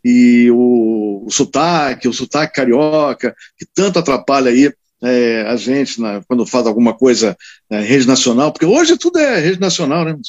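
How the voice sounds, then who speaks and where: A man's voice: medium pitch, moderate pace, muffled recording. A man from Rio de Janeiro, a radio announcer, chatting animatedly with colleagues and stressing his points with tag questions. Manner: animated, emphatic storytelling with frequent tag questions. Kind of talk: casual chat among broadcasters.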